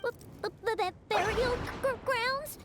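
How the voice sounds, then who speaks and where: A woman voicing a small, excitable, childlike fairy companion in a video game, with a squeaky, expressive voice. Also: measured pace, a little hiss or hum. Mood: spooked. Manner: refers to herself in the third person, expressive. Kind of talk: video game character dialogue.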